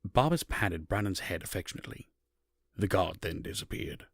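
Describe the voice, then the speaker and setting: A man narrating a dark fantasy tale as a solemn storyteller, reading from a script. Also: low-pitched, lively intonation, moderate pace, slightly rough, no room sound, heavily acted.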